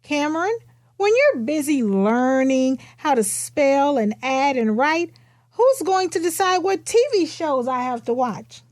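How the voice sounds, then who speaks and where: A fretful storybook relative pleads with a child , as read by a woman. The voice sits high, with questions that stretch out expressively. The recording is dry and free of room sound. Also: expressive, unhurried, a little mellow, no room sound. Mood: worried, pleading. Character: fretful storybook family and neighbours questioning a little girl.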